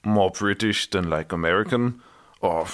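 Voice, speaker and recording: A man's voice: low voice, moderate pace. A man with a German accent chatting casually as a podcast co-host, with dry humor and frequent laughs. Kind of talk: casual chat.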